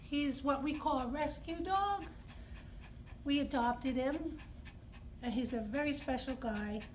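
A middle-aged woman hosting story time, speaking to young children in simple, expressive phrases. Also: high-pitched, takes it slowly. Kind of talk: read-aloud and talk for young children. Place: indoor library children's room. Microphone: camera a few feet away. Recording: distant camera mic, clear background noise.

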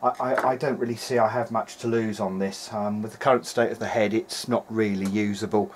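A man's voice: on the low side, fairly even intonation, a little fast, a little hiss or hum. A middle-aged man talking through a repair in a hesitant, meandering, matter-of-fact way, as in a video diary. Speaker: electronics repair hobbyist. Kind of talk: video diary, thinking aloud while working. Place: indoor workbench.

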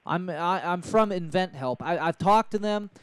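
Eager, scheming dad, played by a male prank caller: higher male voice, rambling and pushy, earnest salesman patter, dry sound. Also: normal speed, clear, resonant tone, dry recording, natural voice. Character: eager, scheming dad pitching absurd business idea. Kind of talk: improvised prank phone call. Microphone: handheld microphone held close to mouth.

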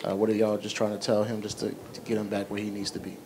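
A man's voice: on the low side, completely flat delivery, fast talker, background noise. A male reporter asking long, rambling questions at a press conference.

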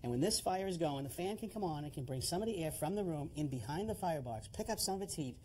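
A man's voice: on the high side, animated, fast, mellow, a little hiss or hum, rough recording. A middle-aged man giving a plain-spoken, instructive demonstration as a home improvement heating expert. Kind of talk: hands-on explanatory demonstration.

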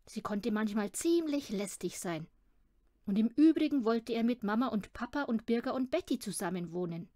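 A woman's voice: fairly high pitch, expressive, normal speed, warm tone, no room sound, clean studio sound. A woman reading a children's audiobook, narrating the story expressively. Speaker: audiobook reader.

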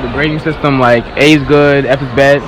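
A man's voice: mid-range voice, fairly even intonation, fairly quick, noisy background. A nineteen-year-old man hosting a casual street interview, teasing the people he questions with playful follow-ups. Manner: teasing, joking, repeats questions. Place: outdoor amusement park. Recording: outdoor crowd and music behind.

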